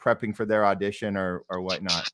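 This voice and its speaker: A man's voice: deep voice, steady tone, brisk, a bit bright. A man hosting a casual interview as a director and actor, talking emphatically and building on what others say.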